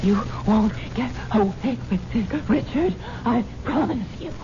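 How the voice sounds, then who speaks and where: An actress playing a weak, drugged wife who falters and whispers as she slowly realizes she has been poisoned. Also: a little high, animated. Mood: weak, tearful. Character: rich wife, drugged and dying, vowing revenge. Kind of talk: 1970s radio drama acting. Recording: dull old radio broadcast with hiss.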